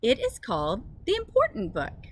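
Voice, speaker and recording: A woman with a fairly high, very lively voice reads a picture book aloud to young children. She sounds like a schoolteacher, phrasing things simply and expressively. The recording is made outdoors, with some ambient noise behind her. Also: moderate pace. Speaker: teacher reading a story aloud to children.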